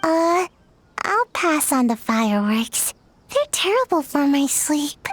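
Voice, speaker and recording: A woman's voice: sits high, big pitch swings, slow pace, noisy background, heavily acted. A woman voicing a sleepy, reluctant little ninja girl in a video game, hesitant and mumbling. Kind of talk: scripted video game dialogue.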